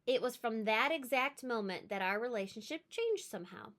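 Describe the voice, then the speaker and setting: A woman reading a children's storybook aloud as a storyteller, with dramatic, expressive emphasis. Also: on the high side, normal speed. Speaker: read-aloud storyteller, teacher.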